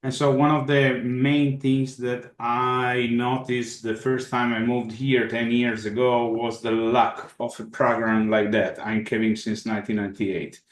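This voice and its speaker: Picture a native Italian-speaking man on a video call, eager and halting as he restarts his sentences. His voice sits at an ordinary pitch. It rings with a noticeable echo from the room around him. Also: level tone. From Italy. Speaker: caving club chair. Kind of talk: video call question and answer.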